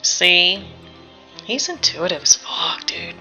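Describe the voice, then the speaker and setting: A woman giving casual game reaction commentary, creaky-voiced, analytical and sweary as she thinks aloud. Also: fairly low pitch, big pitch swings, takes it slowly, sharp tone, soft background music.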